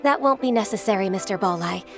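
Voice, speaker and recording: A woman playing a polite, composed young official in a video game, speaking formally and gently. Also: mid-range voice, fast, over music, close to an everyday voice. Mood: composed, firm.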